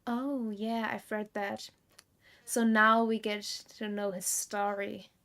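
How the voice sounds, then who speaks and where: A woman giving casual live game commentary, thinking aloud in a halting, reflective way. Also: medium pitch, little pitch movement, fairly bright tone. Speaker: game streamer.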